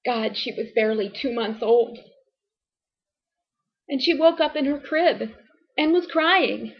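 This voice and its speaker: Actress as anguished abused wife and mother, dramatic confession monologue: fairly high voice, very slow, halting, strained delivery. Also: creaky, lo-fi sound. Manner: halting, deliberate, emotionally strained.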